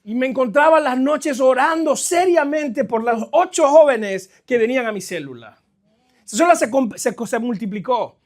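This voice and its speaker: A middle-aged man with a Caribbean Spanish accent giving a conversational, halting sermon as a guest pastor, addressing the congregation directly. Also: very high voice, expressive. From New Jersey, of Caribbean family. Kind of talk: live sermon, personal testimony. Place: church, speaking to a congregation.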